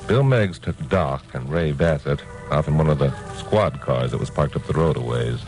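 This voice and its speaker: A hardboiled American crime reporter speaks in a very low voice, wry and slangy. It is old-time radio detective drama, with music clearly present in the vintage recording. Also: male voice, normal speed. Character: wry tough male crime reporter narrating his case. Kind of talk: 1940s-50s radio detective acting, hardboiled narration.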